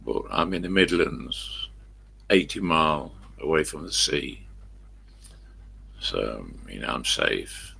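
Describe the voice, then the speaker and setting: Elderly male live streamer, English accent, low gravel-edged smoker's croak, loose chatty rambling delivery. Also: sing-song, slow pace, pauses often, pushed and bright. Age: 70s or older. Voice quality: croaky, husky smoker's voice with a cough. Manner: chatty, mild swearing, answers his own questions. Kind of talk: rambling casual chat to live viewers.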